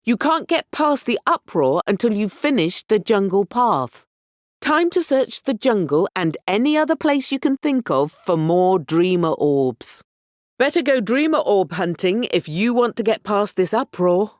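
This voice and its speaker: An actress playing an encouraging, wry mentor in a video game, expressive and instructive as she guides a young hero. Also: medium pitch, a little slow, no echo, clean studio sound. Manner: expressive, instructive, gently apologetic. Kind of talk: scripted video game character lines.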